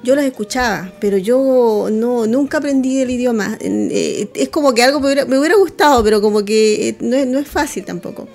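A woman's voice: on the high side, a little fast, creaky, hardly any pauses, darkish tone, soft background music. A woman hosting a radio interview, encouraging and affirming her guests. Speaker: radio host.

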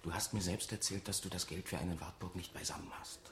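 Low pitched office schemer, pushy and conspiratorial, radio drama, roomy echo, bit of noise. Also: male voice, steady tone, fast talker, fairly bright tone. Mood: coaxing, pressing. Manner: insistent, persuasive, conspiratorial. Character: scheming, insistent male office auditor hiding a lottery win. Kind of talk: German radio drama acting.

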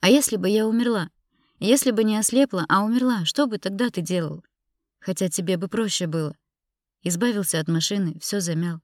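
A woman's voice: lowish voice, normal speed, a little mellow, no echo, clean studio sound, lightly acted. A woman narrator playing a hurt, bitter young woman confronting her husband in an audiobook drama, emotional and indignant. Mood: tearful, accusing.

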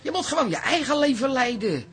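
A man's voice: very high voice, sing-song, fairly quick, fairly bright tone, heavily acted. A man acting in a radio drama as a jovial, cheeky, teasing fellow. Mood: breezy, defensive. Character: jovial, teasing man, absent father of a daughter.

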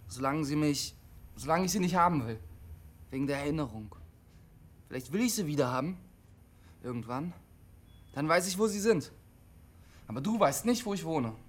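An actor in his twenties playing a withdrawn, troubled young man in a naturalistic radio drama, speaking in halting, repeating sentences. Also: fairly high pitch, natural voice. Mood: defensive, unsettled. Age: 20s. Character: troubled, withdrawn 25-year-old man, a lonely CB radio hobbyist.

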